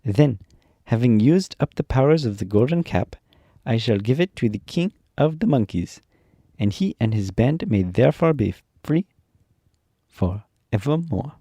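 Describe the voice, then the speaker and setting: A gracious good witch who gives commands, played by a man who raises his voice a little and lends it a stately slowness. The warm, dark tone is recorded close and dry. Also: medium pitch, animated, no echo, voice pushed up. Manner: stately, slightly raised and slow.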